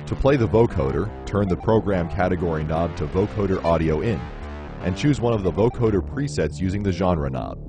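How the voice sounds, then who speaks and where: Deep-voiced man narrating a product demo. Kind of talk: scripted promotional narration. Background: synthesizer music.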